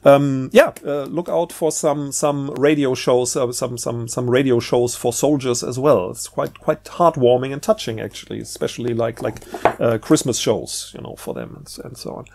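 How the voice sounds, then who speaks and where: A man giving a casual, rambling book review in a halting way, often repeating words. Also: medium pitch, steady tone, gravelly, darkish tone, slight background noise.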